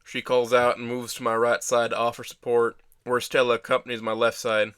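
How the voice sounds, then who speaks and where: A man voicing an injured, self-deprecating teenage boy who narrates his own story in a measured, wry, deadpan way for an audio drama. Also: mid-range voice, clear, resonant tone, lightly acted. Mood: descriptive. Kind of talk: first-person scripted narration.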